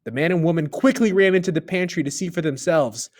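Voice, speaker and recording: A man reading a children's story aloud, expressive, dipping low and quiet on the narration tags. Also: quick pace.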